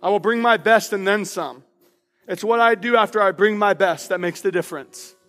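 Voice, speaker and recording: Loud, passionate high-voiced pastor in hall. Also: male voice, normal speed, faint music behind. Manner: reads personal declarations aloud with emphasis. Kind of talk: sermon. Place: church sanctuary.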